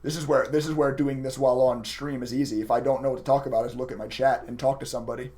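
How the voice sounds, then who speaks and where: A man with a raspy voice chatting casually to viewers as a livestreaming day trader, emotive and talkative. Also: medium pitch, fairly even intonation, rapid-fire, a little mellow. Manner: chatty, emotive, laughs often. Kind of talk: casual live stream commentary to viewers.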